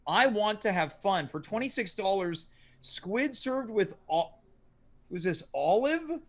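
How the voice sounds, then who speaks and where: Male host, high voice, dry mock-serious questions, comedy show. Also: lively intonation, a little slow. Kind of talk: comedic interview.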